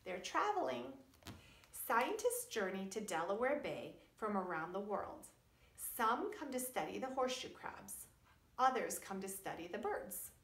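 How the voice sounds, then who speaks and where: The room echoes around a middle-aged woman with a mid-pitched voice. She speaks as a preschool teacher does to small children, deliberately and with clear emphasis. Her delivery suits both a simple science lesson and a picture book read aloud. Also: big pitch swings, a bit bright. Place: indoors in a wood-panelled room at home or school.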